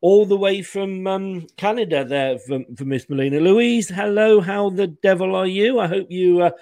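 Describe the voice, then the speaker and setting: A man's voice: high-pitched, normal speed, darkish tone, no room sound. A man hosting a football fan live stream, rambling and laughing casually while losing his voice. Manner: laughing, rambling, repeats himself. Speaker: host.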